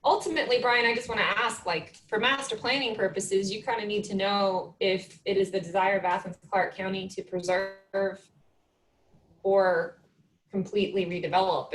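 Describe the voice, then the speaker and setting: A woman commenting in a virtual planning meeting on a video call, measured and thinking aloud with fillers. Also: medium pitch, slight background noise, rough recording. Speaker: community participant. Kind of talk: meeting discussion.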